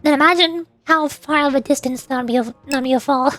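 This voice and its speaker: A woman's voice: high-pitched, fast talker, a bit bright, no echo, faint music behind. A woman livestreamer chatting casually and playfully in a rambling way while gaming. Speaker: VTuber livestreamer.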